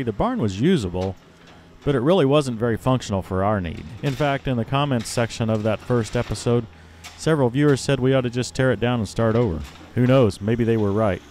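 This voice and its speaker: A man hosting his own channel, narrating a scripted voice-over in a plain, steady, matter-of-fact way. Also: mid-range voice, a little hiss or hum. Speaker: host of a farm and tractor channel.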